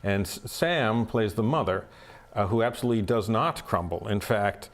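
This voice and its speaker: A man's voice: on the low side, normal speed. An American man, a stage actor, speaking thoughtfully in an interview and repeating words as he starts his sentences. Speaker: actor in a community theater play. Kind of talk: casual interview chat.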